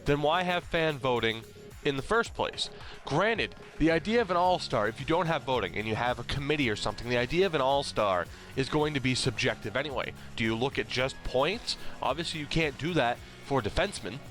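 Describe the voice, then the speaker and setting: A young man with a cold talks in a slightly nasal, mid-pitched voice. He rants bluntly and animatedly, swearing now and then. Faint music sits underneath him. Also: lively intonation, fairly quick, a bit bright. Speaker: hockey commentator vlogger. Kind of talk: opinionated casual rant.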